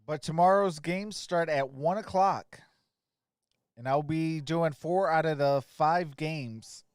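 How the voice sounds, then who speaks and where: A man's voice: on the high side, slow, smooth, no echo, clean studio sound. A man doing amateur play-by-play of a basketball game as a stream host, in terse, clipped phrases. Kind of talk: amateur play-by-play commentary and score updates.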